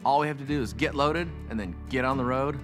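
A man's voice: mid-range voice, fast talker, over music. A middle-aged man giving casual commentary as a car detailer.

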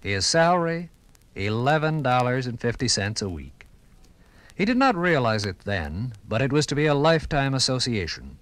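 A man reading scripted 1950s radio documentary narration in a measured, formal storytelling manner. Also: mid-range voice, sing-song, a bit bright, no room sound.

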